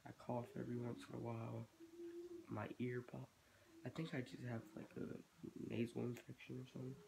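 A teenage boy chats loosely about his hobby in a small bedroom, thinking aloud as he goes. His voice is stuffy from a cold and has a gravelly fry at the low end. The webcam recording carries a clear hiss of background noise. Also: monotone, normal speed. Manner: rambling, thinking aloud while working. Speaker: reptile-keeping vlogger. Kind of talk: casual hobby vlog. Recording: noisy home webcam recording.

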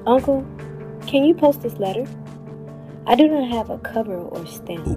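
A woman's voice: fairly high pitch, animated, normal speed, over music, close to an everyday voice. An actress playing an earnest, wistful eleven-year-old village girl in an audio drama, longing for her father. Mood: pleading.

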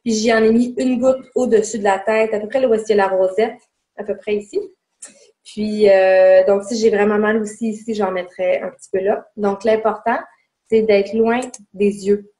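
A woman's voice: medium pitch, fairly even intonation, normal speed, mellow. A woman in her forties with a Québécois French accent, presenting a casual home webinar lesson and pointing out spots on herself as she explains. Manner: chatty, demonstrating on herself as she talks. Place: home room indoors. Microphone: webcam mic on a video call.

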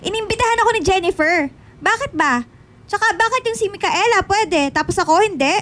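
A woman acting an obsessive, needy young woman in a Filipino radio drama, pleading and halting in manner. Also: very high voice, expressive, fairly bright tone. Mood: hurt, defiant. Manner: pleading, halting when evasive.

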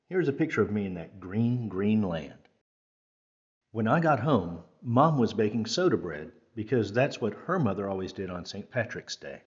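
Low-pitched man reading slowly. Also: lo-fi sound. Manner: measured, careful phrasing. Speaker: storytime reader. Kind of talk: children's picture book read-aloud.

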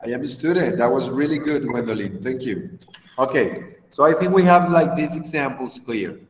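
A man's voice: medium pitch, lively intonation, moderate pace, reverberant, big-room sound, poor audio. A Mexican man in his thirties with a Mexican accent, an English teacher giving an online class with patient encouragement. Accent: Mexican Spanish. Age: 30s. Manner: patient, encouraging, switches to Spanish to explain. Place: home room on a video call. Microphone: video call.

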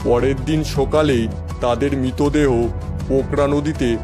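Slow, grave station master played by a man. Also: mid-range voice, fairly even intonation, music in the background, natural voice. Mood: ominous warning. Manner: slow, solemn, ominous delivery. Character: grave, superstitious male railway station master warning of ghosts. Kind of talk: acted dialogue in cartoon horror story.

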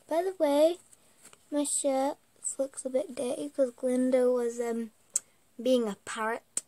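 A woman's voice: on the high side, warm tone. A teenage girl vlogging from her bedroom, losing her voice, chatting in a rambling, hesitant way. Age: teens. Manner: chatty, rambling, slow and hesitant with many ums. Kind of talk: casual vlog chat. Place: small bedroom at home. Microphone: webcam or phone at arm's length.

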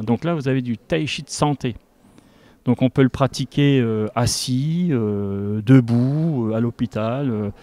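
A man in his fifties speaking as an instructor in an interview, explaining step by step and haltingly into a handheld microphone. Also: medium pitch, dark tone. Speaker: tai chi instructor. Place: large school gymnasium with a group behind. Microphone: handheld reporter microphone.

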